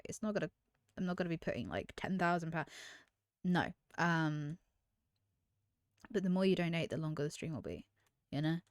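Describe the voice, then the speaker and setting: A woman's voice: fairly low pitch, darkish tone, no echo, studio quality. A woman, chatting candidly to camera as a streamer, often trailing off and restarting. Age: 20s. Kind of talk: casual chat to camera.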